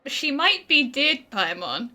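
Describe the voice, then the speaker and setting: High, bright, unhurried woman gamer. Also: very animated. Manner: playful, giggly, wry. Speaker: gamer playing along. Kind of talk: casual gameplay commentary.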